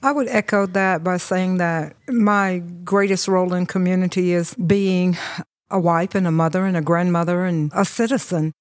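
An older woman answering conversationally as a podcast guest, in a measured way. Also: lowish voice, darkish tone, no room sound, clean studio sound. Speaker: podcast guest, nonprofit leader.

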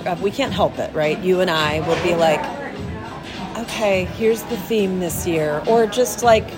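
A woman's voice: lowish voice, unhurried, music in the background. Chatty woman with a slightly nasal cold. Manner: enthusiastic, chatty, agreeable. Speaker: podcast co-host. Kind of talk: casual chat.

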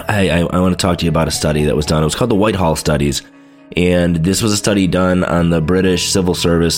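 A man hosting a podcast, talking casually with lots of fillers and sounding stuffy from a head cold. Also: sits low. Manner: chatty, loose, lots of fillers. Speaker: podcast host. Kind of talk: casual solo podcast talk.

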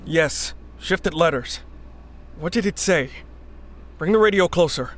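An actor playing a wounded rebel agent, dry and blunt with a gravelly voice, in a sci-fi audio drama. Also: mid-range voice, expressive, unhurried, a bit bright, slight background noise. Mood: dry, matter-of-fact.

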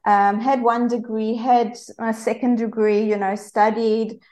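A woman's voice: on the high side, little pitch movement, a little slow, a little mellow. A woman in her forties with a South African accent, a guest coach telling her personal story candidly with frequent fillers.

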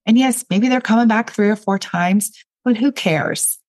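Raspy-voiced woman coaching her listeners. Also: mid-range voice, moderate pace, darkish tone. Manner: direct, encouraging, addresses listeners as you guys. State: raspy from a cold. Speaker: podcast host and business coach. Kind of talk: solo podcast teaching.

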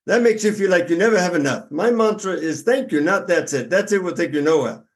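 A man's voice: high-pitched, animated, brisk. A man voicing a serene, insistent Indian guru in a put-on Indian accent, speaking in a solemn, instructive way.

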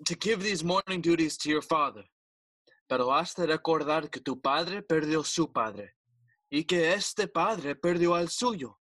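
A stately, smooth-talking king of middle years in a Shakespearean radio play. His voice sits high for a man and rings bright and crisp with formal oratory, recorded dry and close. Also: no echo. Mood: admonishing. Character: regal, smooth-talking middle-aged king, uncle and stepfather. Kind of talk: bilingual Shakespearean audio play acting.

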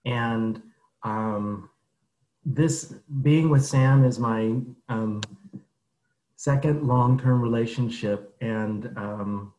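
A man's voice: medium pitch, dark tone, roomy sound, low-quality recording. Plain-spoken man in his fifties. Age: 50s. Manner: measured, plain, with ums. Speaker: podcast guest. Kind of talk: casual interview answers.

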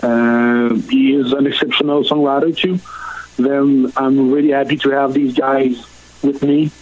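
Man, Quebec French accent, native French speaker, middle-aged, halting, lots of fillers, guest answering questions. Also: medium pitch, flat delivery, darkish tone, rough recording. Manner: halting, many fillers and restarts. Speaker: country singer, guest. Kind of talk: interview answers.

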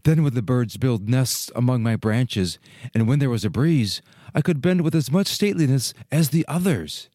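Man voicing a mellow, yearning young fir tree. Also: mid-range voice, expressive, moderate pace, no room sound, studio quality, close to an everyday voice.

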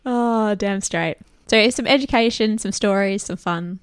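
A woman's voice: mid-range voice, unhurried, clean tone, no echo, clean studio sound. A woman co-hosting a casual podcast, giggly and earnest, rambling loosely as she talks. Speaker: podcast co-host. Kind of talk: casual chat.

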